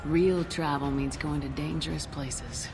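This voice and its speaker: A woman's voice: deep voice, fairly even intonation, measured pace, music in the background. A woman playing a tough, confident military commander in a video game, with a firm, direct and assertive delivery. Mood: cool, probing.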